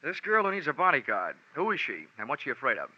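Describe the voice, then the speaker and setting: An actor playing a wry, hard-boiled American insurance investigator in a 1940s radio drama, narrating with dry, deadpan wisecracks. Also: male voice, medium pitch, quick pace, full character voice. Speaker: lead actor narrating as the detective. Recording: muffled 1940s radio recording with music.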